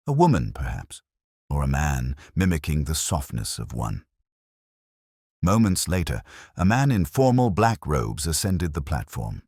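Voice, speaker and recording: A man narrating a scripted mystery story in a measured, formal way. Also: very deep voice, darkish tone, breathy edge, no echo, studio quality. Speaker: audiobook narrator.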